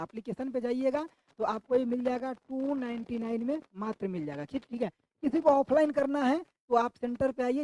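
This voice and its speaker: A man's voice: very high-pitched, dark tone, rough recording. A man with a sore throat teaches an online exam-prep class, repeating each question back to his students.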